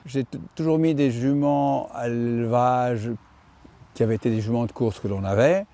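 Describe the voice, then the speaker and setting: Older horse breeder guy, mellow tone, stops and restarts a lot. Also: medium pitch, fairly even intonation, normal speed, gravelly. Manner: hesitant, many fillers and restarts. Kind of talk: interview reply.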